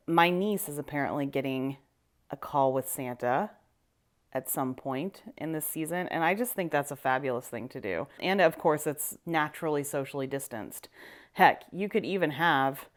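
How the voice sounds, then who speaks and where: A woman in her thirties with a low voice, chatting casually while doing her makeup for a vlog, upbeat and self-deprecating. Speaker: vlogger. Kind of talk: casual get-ready-with-me vlog.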